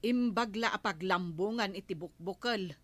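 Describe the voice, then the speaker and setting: A woman's voice: mid-range voice, moderate pace, no echo, lightly acted. A woman playing a chatty, bargaining village neighbour in a Filipino radio comedy drama. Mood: friendly, haggling.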